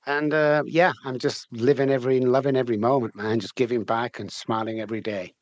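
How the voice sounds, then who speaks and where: A man's voice: mid-range voice, steady tone, brisk, dry recording, lo-fi sound. A middle-aged man from England with a Manchester accent, telling his story candidly in an interview, chatty and often repeating words as he restarts. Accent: Manchester English. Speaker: guest, addiction recovery specialist.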